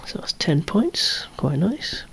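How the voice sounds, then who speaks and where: ASMR collector-presenter, androgynous voice. Whispered, hushed delivery at medium pitch, with gently wry asides. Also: sing-song, slow pace, gravelly. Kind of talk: whispered ASMR show-and-tell of collectible toys.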